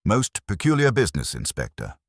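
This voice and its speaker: A man's voice: on the low side, wildly swooping pitch, fairly quick, no room sound, clean studio sound, pitched above the performer's own voice. Nervous, fussy small-town mayor, read by a man. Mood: flustered, guarded. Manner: nervous, over-rehearsed, precise.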